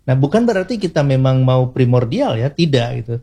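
A man's voice: mid-range voice, sing-song, brisk, warm tone, breathy edge. A man with an Indonesian accent speaking as an expert guest in an interview, explaining things in a didactic way, point by point, with short tag words. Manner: didactic, enumerating points, often adds short tag particles.

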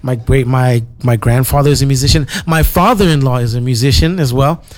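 A young man from Zimbabwe, a musician guest in a relaxed interview, easygoing and full of "you know"s. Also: mid-range voice, a little fast. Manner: easygoing, repeats himself, many "you know"s.

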